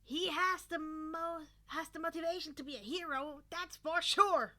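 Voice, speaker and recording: A woman voicing an exuberant, teasing male teacher in a story, with an emphatic, excitable delivery. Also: very high voice, normal speed, pitched above the performer's own voice, exaggerated voice. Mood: excited.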